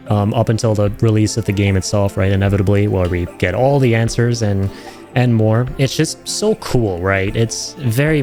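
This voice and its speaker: A man's voice: on the low side, a little mellow. A man giving casual, effusive and rambling reaction commentary as a video host, addressing his viewers directly.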